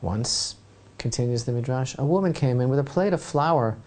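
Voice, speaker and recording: Brief: male rabbi teacher, mellow warm tone, lively storyteller with asides. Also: mid-range voice, normal speed. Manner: dramatic storytelling, asides to listeners. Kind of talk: Torah lesson retelling a legend.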